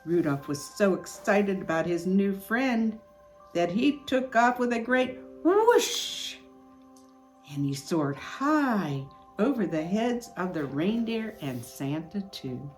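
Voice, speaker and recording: An older woman reading a children's storybook aloud in an expressive, unhurried way. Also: on the low side. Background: music.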